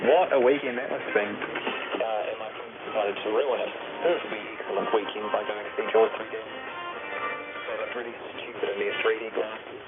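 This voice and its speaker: A man's voice: lowish voice, fairly even intonation, moderate pace, over loud music. A man with a New Zealand accent hosting a radio talk show in a chatty, informal, musing way. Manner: conversational, musing aloud with tag questions. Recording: thin narrow-band shortwave radio.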